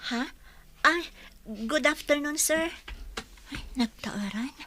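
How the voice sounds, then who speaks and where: A young housekeeper in a village radio play. Her voice is sharp, bright and fairly high. She comes across as spirited, needy and on her guard. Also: slow, a little hiss or hum, exaggerated voice. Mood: surprised, eager. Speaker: radio drama actress. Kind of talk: Ilocano radio comedy-drama acting.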